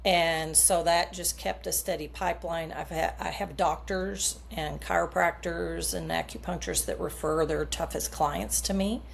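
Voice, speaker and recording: A woman's voice: lowish voice, steady tone, normal speed, vocal fry, hardly any pauses, slight background noise. A middle-aged woman coach explaining her method earnestly in an interview, using homely comparisons. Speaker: subconscious coach, guest. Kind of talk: podcast interview answers.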